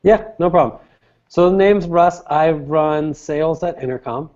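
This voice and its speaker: A man's voice: on the high side, unhurried, darkish tone, muffled recording. A man giving conversational, explanatory interview answers as a guest, restating his phrases as he goes. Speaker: interview guest, sales director.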